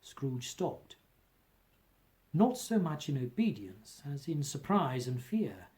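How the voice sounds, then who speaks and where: Unhurried, higher-voiced man narrating a story. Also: sing-song, darkish tone. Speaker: amateur audiobook reader. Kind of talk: expressive scripted story narration.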